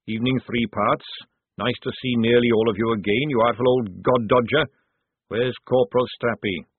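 Old army sergeant man reading, audiobook: brisk, sardonic, husky, phone-band sound. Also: medium pitch, fairly even intonation, no room sound, narrow, tinny audio. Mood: mock genial.

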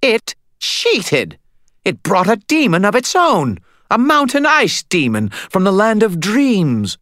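A man voicing a giddy high vampire girl. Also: huge pitch swings, a little slow, a bit bright, no room sound, clean studio sound, full character voice. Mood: shrieking. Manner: gushing, breathy high voice. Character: petite female vampire, giddy and bloodthirsty. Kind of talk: audiobook character voice.

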